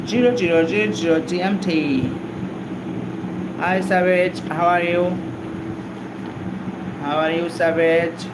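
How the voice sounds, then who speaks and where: A man with a Bangladeshi accent and a fairly high-pitched voice hosts a live stream. He alternates reading news with greeting chat viewers, halting and repeating himself. The recording is very noisy, with a heavy hum behind him. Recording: very noisy, steady background hum.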